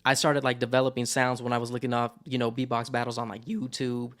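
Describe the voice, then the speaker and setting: A man in his twenties with a soft voice, a guest beatboxer chatting casually on a live stream, repeating words and saying "you know what I'm saying" a lot. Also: mid-range voice, flat delivery, quick pace. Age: 20s. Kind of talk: casual interview chat. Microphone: live stream call.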